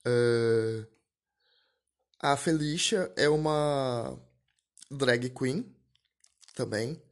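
A man's voice: mid-range voice, drawn-out words. A young man hosting a casual solo podcast, chatting loosely about a film with frequent asides, restarts and small laughs at himself. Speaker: podcast host.

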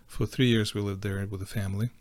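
A middle-aged man from Ukraine, a guest telling his story in a casual interview, halting, with many fillers and restarts. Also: fairly low pitch, fairly even intonation, a little mellow, dry recording.

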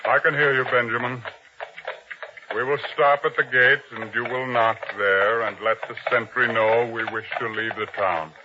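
An actor playing a grave, weary officer of a biblical king in an old-time radio drama, measured and commanding on a muffled recording. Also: medium pitch, steady tone, background noise, close to an everyday voice. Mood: firm, commanding.

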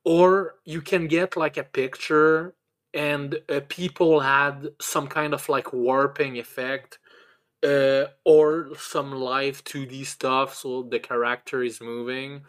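A man hosting a casual chat in a halting, stammering way, with blunt swearing. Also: mid-range voice, level tone, a bit bright. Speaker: podcast host.

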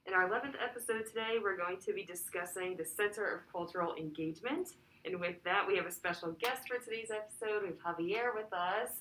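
Podcast co-host, woman, friendly and encouraging, mid pitch, faint background hiss. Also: fast talker, few pauses, fairly bright tone, low-quality recording. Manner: friendly, laughs along, encouraging. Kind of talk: casual podcast chat.

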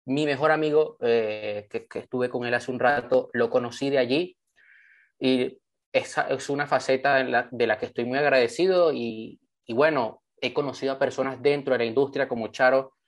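A man's voice: mid-range voice, normal speed. A young man from Venezuela giving an online training talk over a video call, earnest and enthusiastic as he tells his story. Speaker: motivational trainer.

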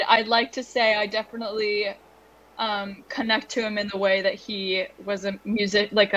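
Soft-spoken young woman guest. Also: medium pitch, low-quality recording. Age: young adult. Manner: soft-spoken, hesitant, with many ums and likes. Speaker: musician guest. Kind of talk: conversational interview.

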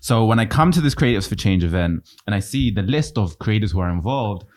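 A man from London, a guest on a casual podcast, talking eagerly with quick restarts. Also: fairly low pitch, lively intonation, darkish tone. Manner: fast, eager, rushing with restarts. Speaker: guest YouTuber.

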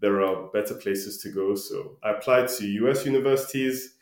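Young male podcast guest from Ghana, low voice, informal and laid-back, roomy echoey sound. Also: moderate pace. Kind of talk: casual conversational interview answers.

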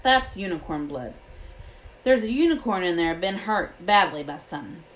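A woman voicing a gruff but kindly giant male gamekeeper from a storybook, protective and cautious, with rustic, colloquial speech. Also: fairly low pitch, animated, moderate pace, lightly acted, poor audio. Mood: grave, instructive. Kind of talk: storybook character voice.

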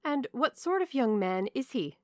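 A woman voicing a composed, good-humoured genteel mother in an audiobook of a classic novel, polite and measured. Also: sits high, very animated, a little mellow, dry recording, studio quality, voice pushed up. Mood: curious.

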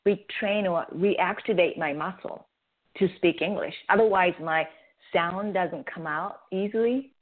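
A woman's voice: on the low side, unhurried. A woman from Japan with a Japanese accent, a guest explaining her method earnestly in a radio interview. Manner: earnest, animated explaining with restarts. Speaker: guest, face yoga creator. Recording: tinny phone line.

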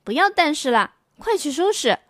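A woman voicing a firm mother who gives brisk orders. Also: high voice, sing-song, a bit bright, dry recording, studio quality, voice pushed up.

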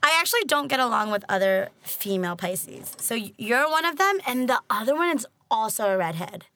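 Raspy young woman, lively bright voice. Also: fairly high pitch, no echo. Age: 20s. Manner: teasing, blunt, quick to joke. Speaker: podcast host. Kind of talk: casual chat.

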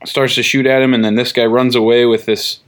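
A young man co-hosting a comic book podcast, rambling in casual chat with many fillers and quick laughs. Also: medium pitch, flat delivery, a little hiss or hum. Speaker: podcast co-host.

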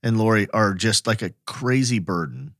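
A man's voice: on the low side, lively intonation, moderate pace, a bit bright, no room sound, studio quality. A man co-hosting a podcast in casual conversation, musing aloud and asking questions. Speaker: podcast co-host.

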